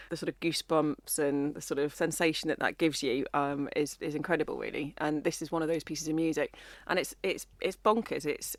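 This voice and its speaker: A woman singer being interviewed on the radio, relaxed and self-deprecating, often repeating words while she thinks. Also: deep voice, dry recording. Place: radio studio. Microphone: studio microphone.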